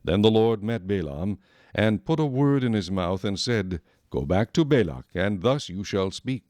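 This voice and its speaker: A man reading scripted scripture narration in a measured, solemn storytelling manner. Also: lowish voice, expressive, darkish tone, no echo.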